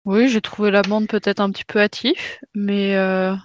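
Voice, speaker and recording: A woman's voice: medium pitch, level tone, moderate pace, slight background noise. A woman playing a tabletop roleplaying game who speaks in character, politely and matter-of-factly. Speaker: player.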